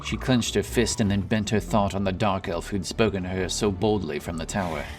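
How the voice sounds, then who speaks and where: Casting: male epic fantasy narrator, low voice, deliberate and dramatic, set back from the mic under loud music. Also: steady tone, recorded from a distance. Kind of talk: scripted fantasy audiobook narration.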